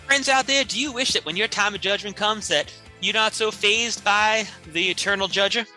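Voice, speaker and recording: A man with a very bright, forceful voice on the high side performs improvised spoof radio commercials. He talks like an announcer pitching a product, and music plays clearly behind him. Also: moderate pace, rough recording.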